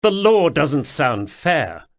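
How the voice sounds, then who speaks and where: A pleading young nobleman, pitched far above an ordinary man's speaking voice and loud, his lines swinging wildly between highs and lows, as a male narrator puts him on. He is anxious and proud, quick to tip into shouting. The voice keeps a gravelly edge underneath. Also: big pitch swings, no room sound, exaggerated voice. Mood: protesting.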